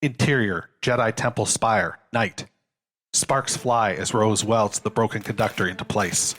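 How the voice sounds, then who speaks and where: A man's voice: fairly low pitch, unhurried, a bit bright. A man giving scripted narration of a screenplay, reading scene headings and action as a narrator.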